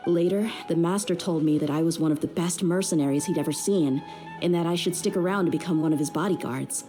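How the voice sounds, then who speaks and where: A woman playing a blunt, low-voiced mercenary. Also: quick pace, warm tone, faint music behind, close to an everyday voice. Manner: frank, direct, plain-spoken. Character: young female mercenary bodyguard, blunt and loyal. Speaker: mercenary bodyguard. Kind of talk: game voice acting.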